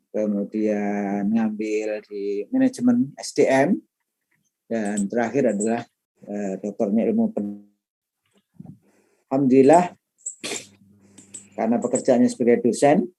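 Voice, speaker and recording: The mellow-voiced older man at the front of the first class of term, chatting with students about himself before the syllabus starts. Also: mid-range voice, rough recording. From Madiun, East Java, Indonesia. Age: middle-aged, late 50s. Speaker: lecturer and trainer. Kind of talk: informal class introduction, chatty autobiographical talk.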